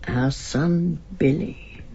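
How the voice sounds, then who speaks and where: An actress playing an elderly working-class mother, wistful and devoted, speaking deliberately in a naturalistic radio drama. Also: sits low, gravelly, dark tone, highs cut off, a little hiss or hum, exaggerated voice. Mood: wistful, firm. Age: older.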